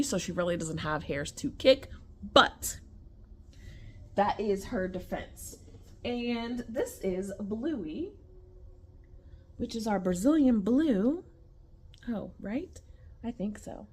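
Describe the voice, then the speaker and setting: A woman chatting casually to camera at home while showing her pet tarantulas, at times dropping to a whisper. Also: mid-range voice. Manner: chatty and animated, addresses viewers as y'all, drops to whispers near the spiders. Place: home room with tarantula enclosures.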